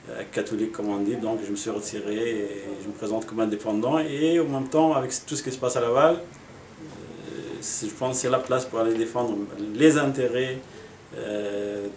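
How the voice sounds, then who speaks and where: A middle-aged man from Morocco, a municipal election candidate, answering interview questions in an earnest, measured way with frequent pauses. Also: mid-range voice, slight background noise. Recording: echoey room.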